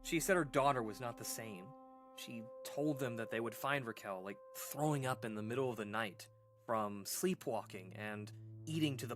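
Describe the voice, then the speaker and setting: Man acting as haunted male storyteller, mid-range voice, casual and halting, earnest, suspenseful pauses, audio drama, music underneath. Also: mid-range voice, a bit bright, natural voice. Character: shaken adult man recounting a haunting childhood memory. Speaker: caller telling a paranormal story on a radio show. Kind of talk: conversational scary storytelling, audio drama monologue.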